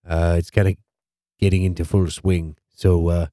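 A man hosting a casual podcast, stuffed up, talking in a rambling, halting way and repeating his words. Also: sits low, level tone, dark tone, no room sound, clean studio sound. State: stuffed up nose. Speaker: podcast host.

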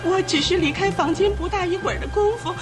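A woman's voice: very high-pitched, fast talker, over music. An actress playing a distraught wealthy lady in a Chinese radio drama, breathless, tearful and melodramatic. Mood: tearful, indignant. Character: distraught wealthy society lady, theft victim, wailing.

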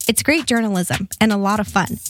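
A woman's voice: mid-range voice, a little fast. A woman podcast host reading an upbeat scripted promo, ticking off items one by one. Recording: drum machine music bed.

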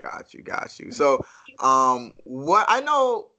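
A man hosting a casual interview, asking relaxed, rambling questions. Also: medium pitch, slow pace, poor audio. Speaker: host.

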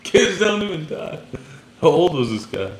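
A man's voice: a little high, sing-song, moderate pace. A man from Cuba co-hosting a casual podcast, joking around crudely and laughing often. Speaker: podcast co-host. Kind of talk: casual chat. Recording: echoey room with faint background noise.

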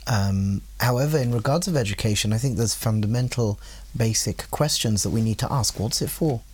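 A man speaking as a podcast guest, thoughtful and hesitant, asking rhetorical questions and pausing with fillers. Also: fairly low pitch, darkish tone, slightly rough. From England. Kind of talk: casual podcast conversation.

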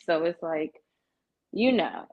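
A woman's voice: sits low, big pitch swings, takes it slowly, dull audio. A woman with an American accent in casual conversation, talking in a frank, sassy, slangy way. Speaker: salon client, guest. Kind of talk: casual chat.

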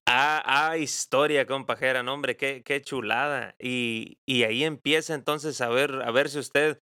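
A man's voice: mid-range voice, fairly even intonation, a little slow, bright, forceful tone, smooth, dry recording, clean studio sound. A man in his twenties with a Mexican accent, hosting a casual interview. He is chatty and teasing and uses slang. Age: 20s. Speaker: interviewer, host.

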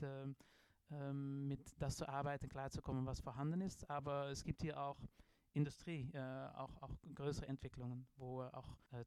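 A man's voice: mid-range voice, a little mellow, clear, resonant tone, no echo. A middle-aged man with a Dutch accent, a design professor answering in a podcast interview, hesitant with many fillers and restarts. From Netherlands.

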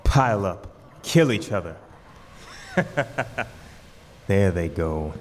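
A fairly low, slow male voice from an actor playing a rough lighthouse keeper in a radio play. He is terse and grim, recorded with long reverb and faint noise. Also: lively intonation, full character voice. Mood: gleeful, taunting. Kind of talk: amateur radio drama table read.

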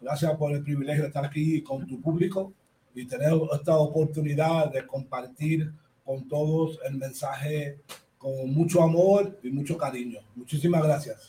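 A man's voice: on the high side, a little fast, mellow, poor audio. A man with a Puerto Rican accent hosting a casual radio talk show, effusive, repeating his phrases and mixing English with Spanish. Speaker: radio host. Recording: clear background noise.

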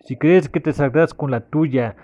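A man's voice: fairly high pitch, unhurried, dull audio, close to an everyday voice. A man voicing a defiant, hard-boiled cyborg detective, stern and confrontational, in an audio drama. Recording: clear background ambience noise.

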